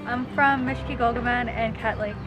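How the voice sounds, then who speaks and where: Hesitant eighteen-year-old, slightly high voice. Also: female voice, fairly even intonation, moderate pace. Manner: hesitant, with many ums. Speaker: interviewee. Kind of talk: casual interview answers. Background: background music.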